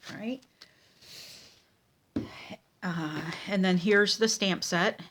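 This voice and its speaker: A woman with a stuffy, nasal voice from a cold, teaching card-making at her craft table at home. She talks slowly in a relaxed, chatty way, with frequent ums and pauses. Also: mid-range voice, a little hiss or hum. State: congested with a cold. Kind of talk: casual craft tutorial.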